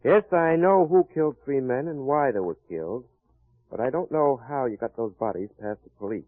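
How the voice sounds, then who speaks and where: An actor playing a wry, confident private detective in a hard-boiled old-time radio crime drama. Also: male voice, mid-range voice, lively intonation, brisk, no room sound, lightly acted. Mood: hard, accusing. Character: wry confident male private detective cracking a murder case. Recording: muffled vintage radio recording.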